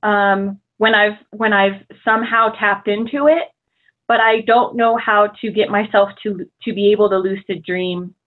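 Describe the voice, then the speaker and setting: A woman's voice: medium pitch, steady tone, a little slow. Woman on a tinny call line. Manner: thoughtful, hesitant, laughs easily. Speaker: guest. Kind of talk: casual chat over a call.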